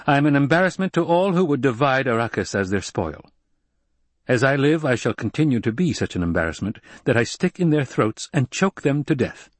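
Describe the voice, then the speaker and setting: A man's voice: mid-range voice, sing-song, fairly quick, dry recording, pitched above the performer's own voice. A male narrator voicing a proud young nobleman who speaks with commanding force. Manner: imperious, forceful delivery.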